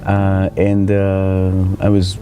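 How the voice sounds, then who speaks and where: A middle-aged man from Israel with an Israeli accent, telling his life story in a reflective, halting way as he searches for words. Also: low-pitched, completely flat delivery, over music. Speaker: spiritual teacher. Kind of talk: personal life story told to camera.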